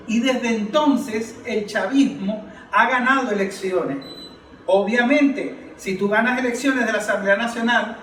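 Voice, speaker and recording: A man's voice: high voice, a bit bright, reverberant, recorded from a distance, a little hiss or hum, low-quality recording. A man giving impassioned political commentary as a podcast panelist, emphatic and declamatory, repeating phrases for force.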